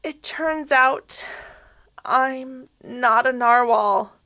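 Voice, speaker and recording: A woman's voice: high-pitched, drawn-out words, pushed and bright, heavily acted. A woman voicing a naive, earnest young unicorn from a children's storybook, wide-eyed and exclaiming. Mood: earnest confession.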